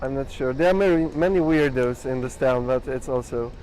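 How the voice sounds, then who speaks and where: A man from Poland answering a street interview with halting speech. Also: mid-range voice, a little mellow, slight background noise, low-quality recording. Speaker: passer-by interviewee.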